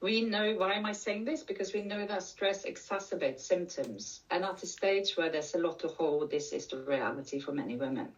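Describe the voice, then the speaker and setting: A woman's voice: on the low side, normal speed, few pauses, recorded from a distance, lo-fi sound. A middle-aged woman from Norway with a Norwegian accent, giving an earnest conference talk as a coach and repeating key points for emphasis. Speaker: menopause coach and speaker. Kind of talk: conference presentation.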